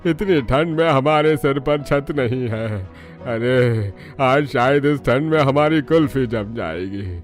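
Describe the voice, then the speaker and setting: A shivering, gloomy old father-in-law in a cartoon tale, put on by a man. He speaks slowly at a medium pitch, with faint music behind. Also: close to an everyday voice. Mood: miserable shivering. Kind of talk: cartoon story dialogue.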